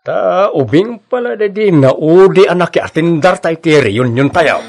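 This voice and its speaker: A man's voice: on the high side, animated, moderate pace, vocal fry, soft background music. An actor with an Ilocano accent playing a chatty, teasing father and friend in a radio drama. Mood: fatherly.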